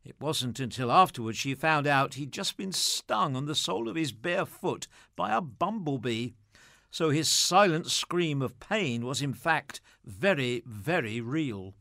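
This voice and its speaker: Guy reading out anecdotes, slightly high voice, dry close recording. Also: expressive, a little slow, vocal fry, bright, forceful tone, dry recording. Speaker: presenter reading theatre anecdotes. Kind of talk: scripted narration, reading anecdotes aloud.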